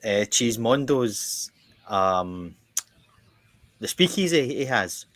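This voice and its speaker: A man's voice: medium pitch, expressive, slow, fairly bright tone. A middle-aged man with a Scottish accent, chatting casually as a podcast host and telling rambling stories that he keeps interrupting himself to restart. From Ireland. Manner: rambling anecdotes with frequent self-interruptions and laughter. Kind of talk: casual chat.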